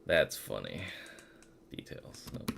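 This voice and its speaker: A man's voice: slow, fairly bright tone, a little hiss or hum. A man streaming a video game in a casual, informal way, thinking aloud and swearing now and then. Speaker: game livestreamer. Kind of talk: casual stream chat with gameplay commentary. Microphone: streaming microphone. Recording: music playing behind the voice.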